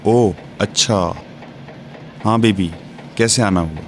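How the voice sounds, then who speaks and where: A man's voice: lowish voice, takes it slowly, slight background noise. A man playing a curt, wealthy factory owner in a radio drama, formal and businesslike. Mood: dismissive. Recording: music behind the voice.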